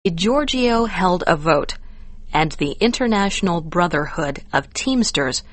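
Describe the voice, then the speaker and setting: An American woman reading scripted educational narration for English learners, measured and carefully enunciated. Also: fairly low pitch, animated, no room sound.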